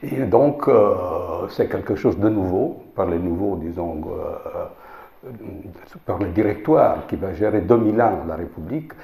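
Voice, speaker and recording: A man's voice: sits low, animated, measured pace, vocal fry, dark tone, reverberant. A man with an Italian accent giving a history talk in French, a second language, with many restarts, repeated words and fillers. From Italy. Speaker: lecturer. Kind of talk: informal history lecture.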